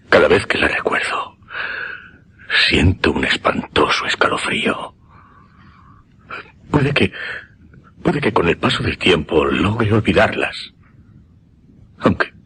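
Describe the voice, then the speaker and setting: An actor with a Castilian Spanish accent playing a fearful, haunted magnetizer, halting and repeating himself in a dramatic radio suspense style. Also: male voice, deep voice, sharp tone, full character voice. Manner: halting, breathy repetitions, dramatic. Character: haunted, fearful male magnetizer shaken by horror.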